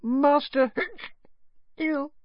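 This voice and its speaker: A performer voicing a tipsy, tearful, fiercely loyal female house elf, squeaky and whimpering in broken speech for a storybook. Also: drawn-out words, no echo, highs cut off. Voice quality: very high, squeaky. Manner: whimpering, hiccuping, broken third-person speech.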